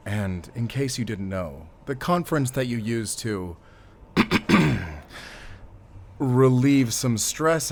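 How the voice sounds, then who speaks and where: A man's voice: on the low side, a little hiss or hum. A man playing a stern, commanding middle-aged father in an audio drama. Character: wealthy stern father, protective of his son, commanding.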